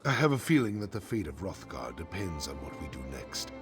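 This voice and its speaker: Solemn low-voiced orc chieftain. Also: male voice, very animated, fairly quick, over music. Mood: grave, urgent. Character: grave, resolute orc clan chief rallying an ally. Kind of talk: dramatic fantasy game dialogue.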